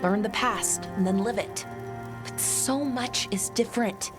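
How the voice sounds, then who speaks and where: A woman's voice: on the high side, a little slow, natural voice. A woman playing an earnest, scholarly young elf woman in grief, talking in a rambling, unsure way in a video game. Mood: wistful, uncertain. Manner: rambling, hesitant, self-correcting. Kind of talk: video game dialogue acting. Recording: clear game music behind the voice. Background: game music.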